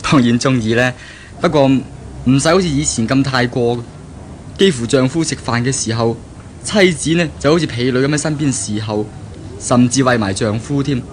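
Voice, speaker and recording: A man's voice: mid-range voice, normal speed, close to an everyday voice. A man with a Hong Kong Cantonese accent playing an affectionate, easygoing young bridegroom-to-be, amiable and earnest, in a 1970s radio drama. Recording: slightly noisy old radio recording.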